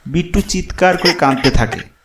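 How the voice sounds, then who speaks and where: A man's voice: fairly high pitch, expressive, moderate pace, background noise. Echoey male horror-story narrator. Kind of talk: scripted horror cartoon narration. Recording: roomy echo, clear music behind. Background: background music.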